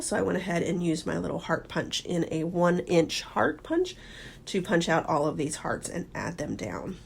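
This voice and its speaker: Hoarse, crackly-voiced woman narrating crafts. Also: lowish voice. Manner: relaxed, step-by-step explaining. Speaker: scrapbooking channel host. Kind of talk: casual voice-over narrating a craft process.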